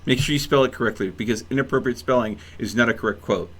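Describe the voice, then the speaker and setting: A man's voice: mid-range voice, fast, a bit bright, breathy edge. A man hosting a game stream in casual banter, rambling in a mock-serious way and stammering over repeated words. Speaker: live streamer hosting a gaming session.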